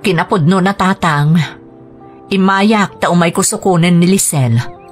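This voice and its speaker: A woman playing a careworn, pleading mother in a radio drama, with a husky voice and an Ilocano accent. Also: on the low side, measured pace, close to an everyday voice. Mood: apologetic, pleading. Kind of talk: Filipino radio drama acting. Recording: music bed under dialogue. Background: music.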